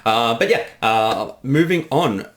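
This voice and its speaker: A man's voice: medium pitch, expressive, slow pace, a bit bright, slight background noise. A man chatting casually as a director giving commentary, with an enthusiastic manner.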